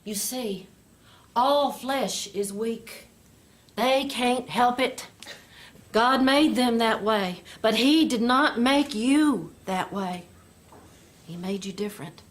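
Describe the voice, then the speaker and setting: An actress playing a stern, sanctimonious overseer of women, preaching in a deliberate manner. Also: female voice, fairly high pitch. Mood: preachy.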